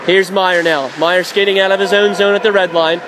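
Male hockey play-by-play announcer, high voice, faint noise behind, rising to shouts on big plays. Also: fairly even intonation. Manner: rapid, rising to shouts on shots and saves.

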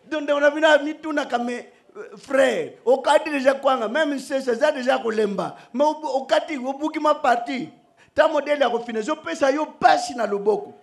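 High-voiced animated preacher man. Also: a little slow, vocal fry. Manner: animated, joking, switching between shouting and soft asides. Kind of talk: sermon. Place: outdoor church stage under a canopy. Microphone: stand microphone.

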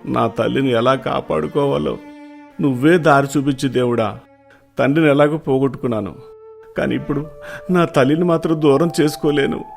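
A man playing a poor, devoted young village son in an animated moral story, earnest and pleading. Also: mid-range voice, moderate pace, vocal fry, soft background music, natural voice.

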